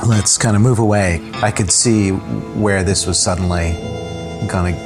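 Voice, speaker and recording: A male filmmaker recounting a personal ordeal in calm, reflective conversation, full of casual fillers. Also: low voice, unhurried, loud music behind the voice. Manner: reflective, calm, with frequent "you know" fillers. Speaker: interview guest, filmmaker and journalist.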